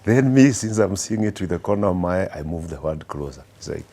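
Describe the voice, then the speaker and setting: A middle-aged man from Kenya with a Kenyan accent, guest on an interview podcast, telling anecdotes slowly with many fillers and restarts. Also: low voice, animated, heavy background noise. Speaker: guest executive.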